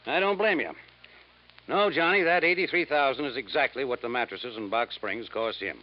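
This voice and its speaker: A man's voice: mid-range voice, normal speed, close to an everyday voice. Guy doing businesslike insurance man, matter-of-fact, 1950s radio play, muffled sound. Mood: dry, businesslike. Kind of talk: 1950s radio drama acting. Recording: dull 1950s radio recording.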